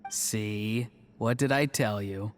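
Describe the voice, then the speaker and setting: A man's voice: lowish voice, slow, faint music behind. A man playing a friendly, level-headed young man with a casual, teasing manner in a video game. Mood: dry, teasing. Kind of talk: video game cutscene voice acting.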